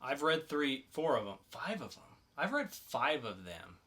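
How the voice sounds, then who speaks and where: A man works as co-host of a casual comics podcast. His mid-range voice is bright and forceful. He talks in a loose, conversational way. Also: mid-range voice, sing-song, a little slow.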